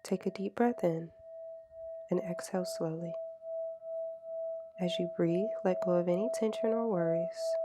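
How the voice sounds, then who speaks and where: A woman leading a slow, scripted guided meditation in a calm, gentle, soothing way. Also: on the low side. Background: meditation music with singing bowls.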